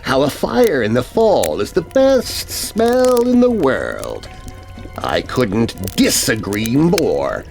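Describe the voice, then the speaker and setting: A man voicing a sinister child-eating witch in a horror story for kids, speaking with slow, drawn-out menace over music. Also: medium pitch, wildly swooping pitch, vocal fry, darkish tone, exaggerated voice. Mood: sly, gloating.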